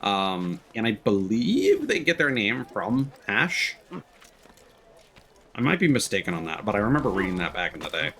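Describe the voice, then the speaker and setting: Lively-pitched man casually streaming a game. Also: moderate pace, slight background noise. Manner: rambling, dryly wry asides. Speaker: livestream gamer and host. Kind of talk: casual gameplay commentary and chat.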